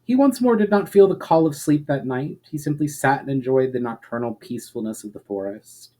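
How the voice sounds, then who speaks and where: A man's voice: medium pitch, expressive, fairly quick, mellow. Man giving a dramatic story reading. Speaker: author reading fiction aloud.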